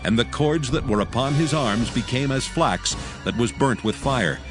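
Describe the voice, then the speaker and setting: A man's voice: mid-range voice, animated, moderate pace, music in the background. A man narrating a dramatized Bible with a solemn storytelling delivery.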